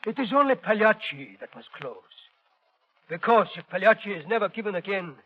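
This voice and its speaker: A man playing a sorrowful, superstitious opera tenor in a 1930s radio drama, speaking formal, halting English. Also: high-pitched, expressive. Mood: mournful. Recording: dull, band-limited 1930s radio recording.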